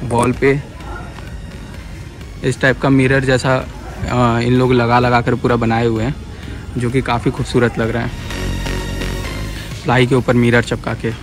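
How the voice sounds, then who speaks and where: A man's voice: medium pitch, monotone, unhurried, pauses often, over music. A young man with a Varanasi Hindi accent talks viewers through a site, chatty and pointing things out, filmed outdoors on a phone held at arm's length. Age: young adult. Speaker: vlogger. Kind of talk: walkthrough vlog narration. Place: outdoor sports field in a city. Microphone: phone camera held at arm's length.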